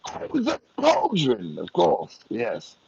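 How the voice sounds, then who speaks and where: A man playing a pompous, food-loving fantasy game character, with a gravelly voice and a deliberate manner. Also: a little high, wildly swooping pitch, a little mellow, dull audio, slight background noise, exaggerated voice. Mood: self-important.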